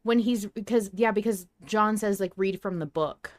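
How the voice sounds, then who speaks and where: A woman hosting a podcast in casual discussion, thinking aloud in halting phrases. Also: medium pitch, no room sound, studio quality. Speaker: podcast host.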